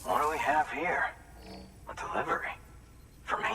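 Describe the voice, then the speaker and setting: Game junk dealer, male actor: bitter, cantankerous, sardonic, mid-pitched, heard from a distance with noticeable ambient noise. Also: expressive, normal speed, natural voice. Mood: grudgingly pleased. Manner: curt, sardonic, profane. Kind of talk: video game cutscene acting.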